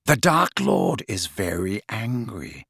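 A cold, guarded man , voiced by a narrator dropping well below his usual pitch, slow and hushed. The low voice is gravelly and a little husky, close and dry. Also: very animated, no room sound, full character voice. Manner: slow, quiet, measured. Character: cold, guarded grown man, quiet and controlled. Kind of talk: audiobook character voice.

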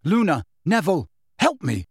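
A man's voice: high voice, sing-song, fairly quick, dry recording, studio quality, voice pushed up. A man voicing a brave but anxious teenage boy hero in a storybook, urgent and tense. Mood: urgent, firm.

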